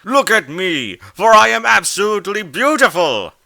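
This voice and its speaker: A gigantic, self-adoring walrus mare, played by a man, booming out theatrically in a cartoon storybook tale. The voice is forceful, raised well up in pitch and sweeping widely, with grandiose relish. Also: big pitch swings, moderate pace, vocal fry, dry recording, studio quality, exaggerated voice. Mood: exultant, grandiose. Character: huge flying walrus pony, female, grandiose and exultant.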